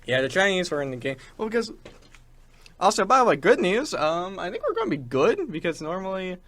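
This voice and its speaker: A man's voice: high-pitched, sing-song, fairly quick, fairly bright tone. A man hosting a show with casual, rambling chat about games and internet culture, acting out imagined quotes as he goes. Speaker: show host. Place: small radio studio.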